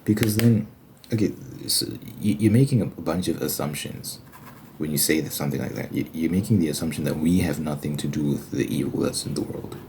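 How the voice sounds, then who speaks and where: A man speaking in a casual, halting ASMR style, explaining religious ideas informally and posing questions as he goes. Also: a bass voice. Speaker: ASMR video creator. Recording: faint background noise.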